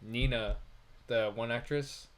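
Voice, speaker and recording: Male co-host, average pitch, informal and halting, casual back-and-forth about films. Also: steady tone. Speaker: podcast co-host and film reviewer. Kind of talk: casual movie review chat.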